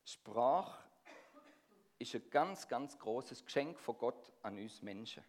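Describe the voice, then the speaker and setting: A man's voice: medium pitch, animated, slow. A middle-aged man from near Stuttgart with a Swabian accent tinged by Swiss dialect, preaching a sermon and drawing the congregation in with questions. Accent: Swabian-tinged Swiss German. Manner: engaging, asks the congregation questions.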